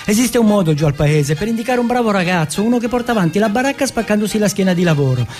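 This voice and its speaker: A man from Turin performing an autobiographical theatrical monologue, telling it in measured short phrases and lists. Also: high-pitched, animated, a little mellow, soft background music. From Turin, Italy.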